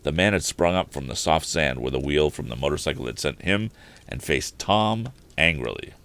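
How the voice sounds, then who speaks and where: A man reading an adventure story aloud in an expressive audiobook style. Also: extremely low pitch, normal speed.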